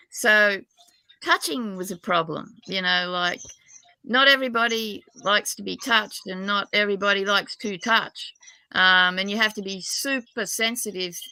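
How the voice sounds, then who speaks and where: A woman's voice: mid-range voice, sharp tone, clear, resonant tone, no room sound, poor audio. A woman from New South Wales with a broad Australian accent, chatting casually in a candid, self-deprecating, rambling way as a guest. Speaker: guest dowser. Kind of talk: casual chat.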